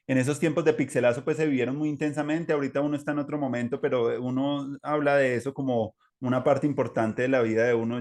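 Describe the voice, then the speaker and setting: Colombian man, mid-pitched, chatting informally. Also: darkish tone. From Manizales, Colombia. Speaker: interviewer, podcast host. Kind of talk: casual chat.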